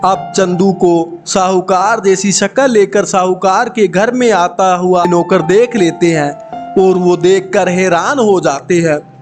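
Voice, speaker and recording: A man tells a scripted cartoon horror tale in an unusually high voice. His storytelling is slow and measured, with loud music playing behind him. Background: loud background music.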